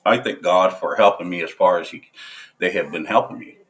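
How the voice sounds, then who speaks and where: Man, veteran, lowish voice, halting, lots of fillers, blunt, swears. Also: a bit bright. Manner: halting, hesitant, with many filler sounds and restarts; blunt and profane. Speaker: military veteran. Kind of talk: casual monologue to camera.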